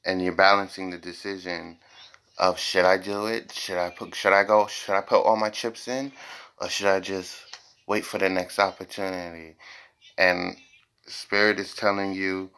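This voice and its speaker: A man with a very deep voice and plenty of fry, cut with a forceful, bright edge. He reads tarot informally for his viewers. His delivery is blunt, with phrases repeated for stress. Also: level tone, moderate pace. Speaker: tarot reader, host.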